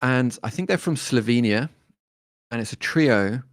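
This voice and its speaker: A man, talking as a guest musician in a relaxed interview, thoughtful and hesitant with many fillers and restarts. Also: mid-range voice, measured pace, no echo, clean studio sound. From born in Detroit, raised in London.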